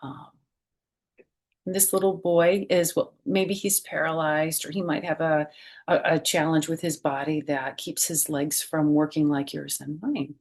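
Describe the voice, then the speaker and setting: A woman's voice: low voice, fairly even intonation, a little slow, darkish tone. A woman hosting a children's storytime, reading aloud expressively and speaking directly to young listeners with questions and simple explanations.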